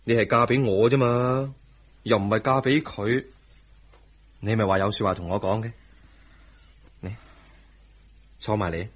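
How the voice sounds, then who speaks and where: Young husband, hong kong cantonese radio drama, lowish husky voice, quietly pushy but affectionate. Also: male voice, fairly even intonation, a little slow, long pauses. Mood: intimate, insistent.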